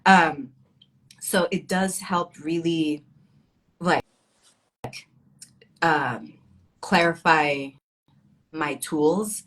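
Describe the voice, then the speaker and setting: A woman's voice: deep voice, drawn-out words, pauses often, fairly bright tone, poor audio. A bilingual Arabic and English speaking woman talking as a guest poet, reflective and informal, with wry humor in a casual literary chat.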